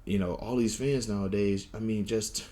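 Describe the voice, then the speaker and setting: A man's voice: on the low side, fairly even intonation, normal speed, dark tone. A young man with a lisp and a stutter, rambling through a casual opinion monologue with many fillers and restarts. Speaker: wrestling vlogger.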